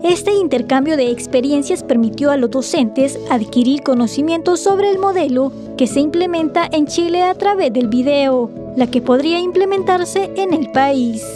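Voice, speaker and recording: A woman's voice: high-pitched, normal speed, hardly any pauses, music in the background. A woman reading a formal, scripted news voice-over as reporter. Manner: formal, even newsreading.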